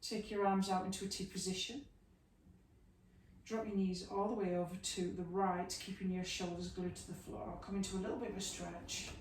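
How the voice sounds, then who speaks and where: A woman teaching a yoga class, giving slow, soothing guidance through stretches and a guided relaxation. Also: medium pitch, flat delivery, darkish tone. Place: room, recorded at a distance from the camera mic. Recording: echoey room, mic at a distance, faint hiss.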